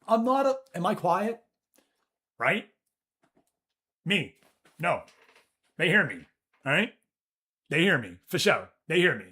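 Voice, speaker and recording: A man's voice: takes it slowly, long pauses. A man streaming a live game session, chatting casually and telling a work story with swearing and mimicked voices. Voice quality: deep voice. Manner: animated storytelling, swears, reads chat aloud. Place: office.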